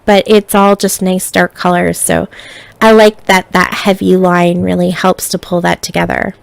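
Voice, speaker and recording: A woman narrating a scrapbooking tutorial in a relaxed, chatty way, sounding a little stuffy as she gets over being sick. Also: mid-range voice, vocal fry, no echo. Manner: relaxed, chatty step-by-step explaining. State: stuffy, recovering from illness. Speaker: scrapbooking designer.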